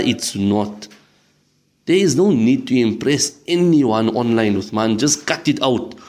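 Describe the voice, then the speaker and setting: A man's voice: mid-range voice, a little mellow. A man playing a stern but caring madrasa sheikh who lectures his student firmly, like a father. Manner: firm, admonishing, fatherly. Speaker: teacher. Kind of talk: audio drama acting.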